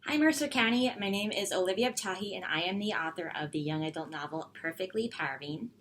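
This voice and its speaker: A woman's voice: medium pitch, quick pace, fairly bright tone, a little hiss or hum. A woman author posing a trivia question in an upbeat way. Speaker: young adult author.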